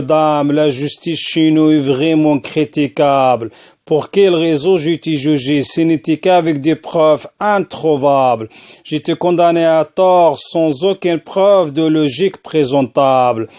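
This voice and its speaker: A man recites a rhymed spoken-word poem in a deliberate, declamatory way, line by line. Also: on the high side, a little mellow. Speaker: poet, former prisoner.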